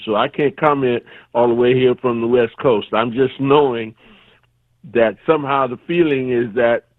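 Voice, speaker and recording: Older man, guest, measured, cautious, tinny phone line, dry. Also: medium pitch, no room sound. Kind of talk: remote guest commentary.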